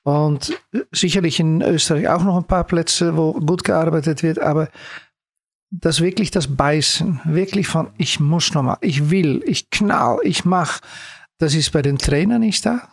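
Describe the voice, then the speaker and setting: A middle-aged man from the Netherlands with a Dutch accent, a native Dutch speaker answering interview questions in halting, hesitant phrases as a sports coach. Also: a little high, vocal fry, no room sound, clean studio sound.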